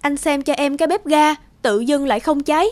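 An actress playing a mild, concerned young working woman in an animated film, speaking politely. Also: high-pitched, fairly quick, natural voice. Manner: polite, asking.

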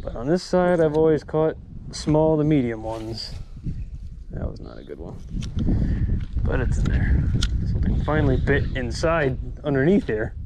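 A young man giving casual running commentary while fishing by a canal, talking to a chest-mounted camera, relaxed with excited bursts. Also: medium pitch, moderate pace, long pauses, background noise. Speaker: fishing vlogger. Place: outdoors by a canal spillway. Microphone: chest-mounted action camera.

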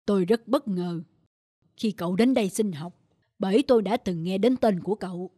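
Stern old professor. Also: male voice, high voice, warm tone, no echo, studio quality. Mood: stern, dismissive. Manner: measured, admonishing. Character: stern old male chemistry professor, reserved but fair. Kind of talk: animated cartoon dubbing.

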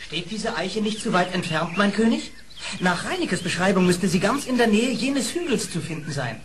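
A man's voice: high voice, big pitch swings, normal speed, a bit bright. An actor playing a formal, deferential court marshal to an animal king in a storybook radio play. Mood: cautious. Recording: faintly noisy old record.

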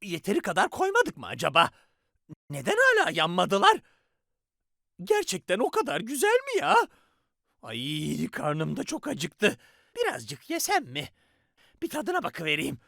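A woman's voice: fairly low pitch, wildly swooping pitch, measured pace, gravelly, long pauses, a bit bright, no echo, studio quality, heavily acted. A woman voicing a bumbling, scheming children's cartoon villain who bosses minions around, theatrical, swinging from sly muttering to shrieks. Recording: music under the voice.

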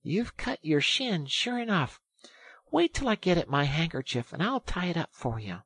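A reader playing an excitable high-pitched storybook rat. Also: measured pace, slightly rough, dry recording, full character voice. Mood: kindly. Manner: eager, urgent, exclamatory. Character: excitable rat, brisk, kindly but easily exasperated. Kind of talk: animated storybook character reading.

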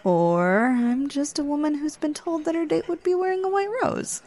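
A woman's voice: high voice, moderate pace, a little mellow, natural voice. Flirty, teasing woman acting on a date. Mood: teasing, coy. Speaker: ASMR roleplayer. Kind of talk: ASMR roleplay.

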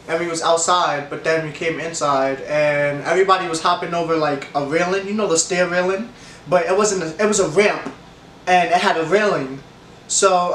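A young man with a stuffy nose, talking in a fairly high voice, rambles through a casual story time with lots of laughs and restarts. The room has a noticeable echo, and the camera mic sits a little way off with a faint hum behind him. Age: young adult. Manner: rambling, animated, laughs often, hand gestures. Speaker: vlogger. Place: room with leather couch, indoors. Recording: echoey room, camera a bit far.

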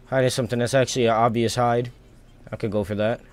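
A man's voice: mid-range voice, flat delivery, fast. A man giving casual live gameplay commentary as a streamer, thinking aloud in informal, slangy talk. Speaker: video game streamer.

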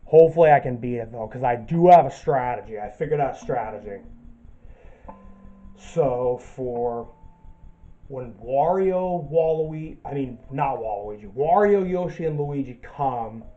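A man's voice: mid-range voice, measured pace, warm tone, muffled recording. Man with a cold, rambling gameplay commentary. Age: young adult. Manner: rambling, repeats himself with many ums. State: getting over a cold. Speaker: let's play gamer. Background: game music.

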